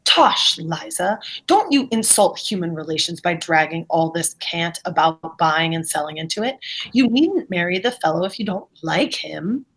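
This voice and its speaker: Woman reading a husky, brusque bachelor gentleman. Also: lowish voice, animated, normal speed, low-quality recording. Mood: patronizing. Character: Higgins, brusque, dismissive middle-aged bachelor gentleman. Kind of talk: amateur readers' theatre play reading.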